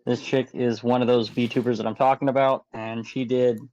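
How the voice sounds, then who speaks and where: A man's voice: mid-range voice, flat delivery, normal speed, a little mellow, no echo. A man chatting casually as a panelist, on slightly muffled audio. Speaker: panelist on a chat show. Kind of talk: casual chat.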